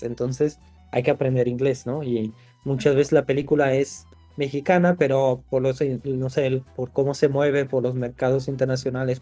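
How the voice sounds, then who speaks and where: A man's voice: mid-range voice, mellow. A man from Italy, a screenwriter guest in a podcast interview, talking thoughtfully with frequent restarts. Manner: thoughtful, many restarts and fillers.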